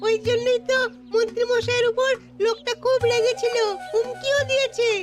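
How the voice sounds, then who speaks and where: A woman's voice: extremely high pitch, level tone, fairly quick, loud music behind the voice. A woman voicing a keen little village child who brings news in a Bengali cartoon.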